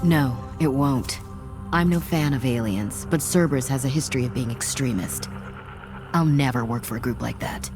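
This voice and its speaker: Female soldier for a dramatic game: low voice, proud, distrustful, music behind. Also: normal speed. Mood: bitter, resolute. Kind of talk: dramatic video game dialogue.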